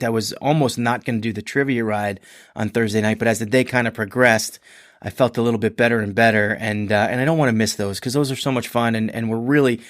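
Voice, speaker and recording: Hoarse guy rambling on his podcast, mid pitch, dry close sound. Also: no echo. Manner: rambling, chatty, many restarts and fillers. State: hoarse and congested. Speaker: podcast host. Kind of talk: casual solo podcast monologue.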